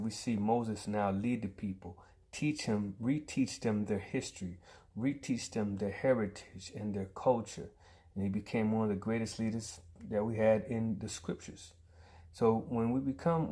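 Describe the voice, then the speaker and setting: A man giving an earnest prepared tribute speech, emphatic, with pauses and restarts. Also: fairly low pitch, dark tone, husky.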